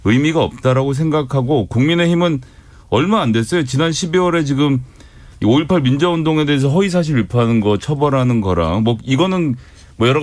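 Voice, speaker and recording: A man's voice: mid-range voice, a little mellow. A party spokesman in a radio studio debate, a man making a pointed, critical argument.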